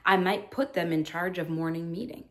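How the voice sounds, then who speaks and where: A woman's voice: fairly low pitch, moderate pace. A woman teacher in her thirties talking earnestly to other teachers about classroom practice, acting out what she says to students. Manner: earnest, animated, acts out her own quoted lines.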